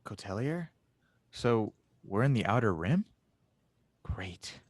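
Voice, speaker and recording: A man playing an anxious, sardonic young genius in an audio drama table read, with halting phrasing. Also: on the low side, sing-song, dry recording, exaggerated voice. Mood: dismayed. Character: anxious young male genius engineer, self-doubting and sardonic.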